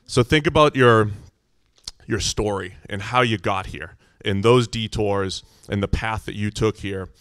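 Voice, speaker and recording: A middle-aged American man with a loud, booming voice, telling his personal story to a congregation in an informal, conversational way. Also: a little slow, no room sound. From Massachusetts, United States. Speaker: visiting church speaker.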